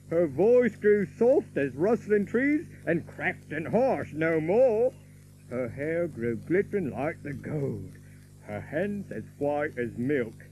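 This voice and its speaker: An actor playing a merry young outlaw who recites an old romantic ballad in lilting, theatrical archaic verse. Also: male voice, a little high, a little mellow, slight background noise, exaggerated voice, rough recording.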